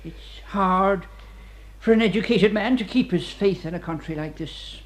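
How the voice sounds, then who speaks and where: An actor playing an educated, self-important senior prison official in a radio play, with a fussy, superior manner. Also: male voice, high voice, measured pace. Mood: condescending.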